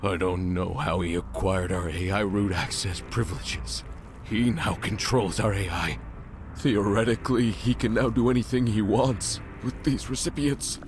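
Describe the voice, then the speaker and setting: A fearful corporate manager acted by a man with a lowish voice, held captive in a game cutscene. He sounds submissive and cowed, with faint noise around him. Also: steady tone, moderate pace, natural voice. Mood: anxious, resigned. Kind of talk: dramatic video game cutscene dialogue.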